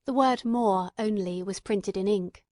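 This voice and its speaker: A woman's voice: mid-range voice, a little slow, dark tone, no room sound. Woman narrating an audiobook, very dry sound. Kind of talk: scripted literary narration.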